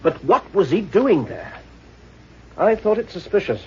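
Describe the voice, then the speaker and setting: An actor playing an uneasy, inquisitive, deferential gentleman in an old-time radio mystery. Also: sits high, sing-song, measured pace, slightly rough, exaggerated voice. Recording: dull, muffled old radio recording.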